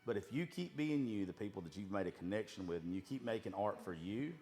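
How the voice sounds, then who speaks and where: A man's voice: lowish voice, expressive, fast, dark tone. A man hosting a podcast, giving emphatic, profane advice in casual chat over drinks. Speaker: podcast host.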